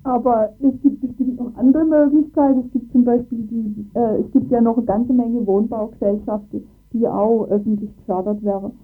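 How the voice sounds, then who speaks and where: Higher-voiced woman, tinny sound. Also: fairly even intonation, normal speed, few pauses. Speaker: local resident and interviewee. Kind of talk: political discussion in a radio interview. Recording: tinny phone-like band, music behind.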